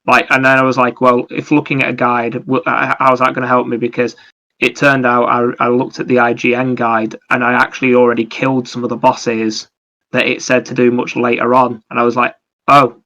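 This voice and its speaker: A man's voice: mid-range voice, monotone, fairly quick, bright, forceful tone, highs cut off. A man chatting casually as a podcast co-host about video games, explaining things in a rambling, anecdotal way.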